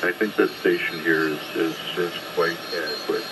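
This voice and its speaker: Very heavy noise surrounds a low-pitched man on a shortwave ham radio transmission. He is an operator talking off the cuff, halting and understated, with polite wording. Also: steady tone. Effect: shortwave single-sideband radio transmission. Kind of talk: unscripted on-air exchange.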